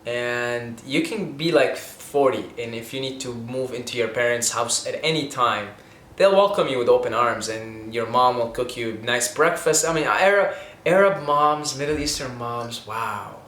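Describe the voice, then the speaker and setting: A man's voice: mid-range voice, unhurried, fairly bright tone, a little hiss or hum. A young man from Syria, talking casually to camera with money tips, rambling and earnest, often stopping to restart and adding "okay?". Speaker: vlogger giving money tips. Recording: echoey room.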